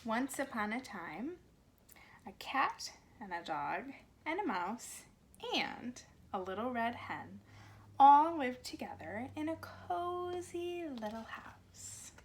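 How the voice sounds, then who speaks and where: Female storyteller for young children, unhurried and expressive, highish register, recorded at home with faint noise. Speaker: speech pathologist reading a children's story. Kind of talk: children's storybook read-aloud. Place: cozy corner at home.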